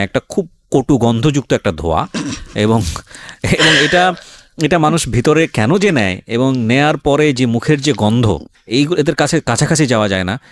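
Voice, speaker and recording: A man's voice: medium pitch, no echo, studio quality. A Bangladeshi man hosting a radio interview, conversational and emphatic, repeating himself and mixing in some English. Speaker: radio host interviewing a guest. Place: radio studio.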